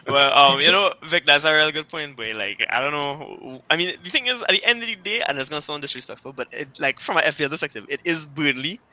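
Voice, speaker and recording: A man's voice: on the high side, expressive, fast, few pauses, pushed and bright, dry recording, rough recording. A man co-hosting a fantasy football podcast, talking in a laid-back, teasing way and laughing often. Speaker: podcast co-host. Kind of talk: casual chat about fantasy football.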